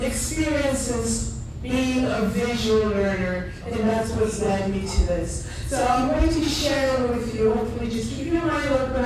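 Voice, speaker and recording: A woman from Cameroon with a Cameroonian accent, giving a chatty conference talk and joking with the audience. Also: on the high side. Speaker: conference presenter. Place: conference room. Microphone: handheld or stand microphone in a room. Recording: distant echoey room recording with noise.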